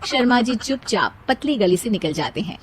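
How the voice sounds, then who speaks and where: Female narrator, high-pitched, for scripted children's moral tales. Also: big pitch swings, moderate pace, husky. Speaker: story narrator.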